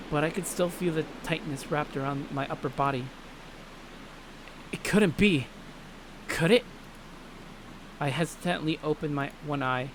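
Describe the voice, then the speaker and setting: A man's voice: fairly high pitch, noisy background. A man hosting a let's play, reading a visual novel aloud in a dramatic way and swearing casually.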